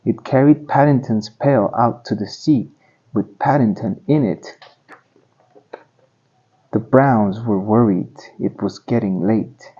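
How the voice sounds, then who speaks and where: Male storybook reader, unhurried, husky, deliberate phrasing for children, slightly muffled lo-fi recording. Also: on the low side, warm tone. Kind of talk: read-aloud storybook narration.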